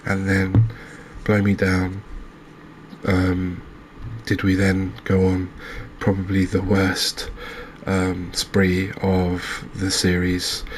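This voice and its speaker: A soft-spoken man narrating a gaming recap in a calming, hesitant ASMR style. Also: deep voice, a little hiss or hum. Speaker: host of a cozy gaming channel.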